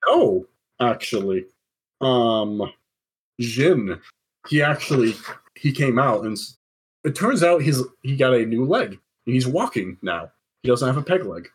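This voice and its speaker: Husky, animated male game master. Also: medium pitch, measured pace, poor audio. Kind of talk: casual narration of a tabletop game.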